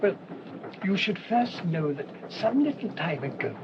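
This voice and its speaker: An actor playing a humble, earnest English parish priest in a radio play, mild, hesitant and formally wordy. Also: male voice, high voice, big pitch swings, unhurried. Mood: earnest, storytelling. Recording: dull, noisy old radio recording.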